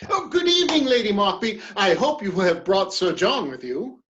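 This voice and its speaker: A woman's voice: medium pitch, very animated, moderate pace, fairly bright tone. A woman playing a courteous, curious male politician in a period stage comedy, speaking formally on a slightly dull microphone.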